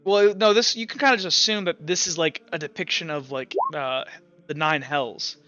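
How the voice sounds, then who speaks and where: A man acting as game master, improvising tabletop narration in halting descriptions full of fillers and repeats. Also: a little high, steady tone, sharp tone. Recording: muffled lo-fi mic with music. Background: Latin chant music.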